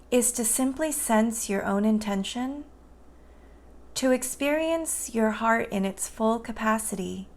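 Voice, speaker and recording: A woman guiding a meditation in a soothing, gentle and unhurried manner. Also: a little high. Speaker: meditation guide. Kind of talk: guided meditation narration.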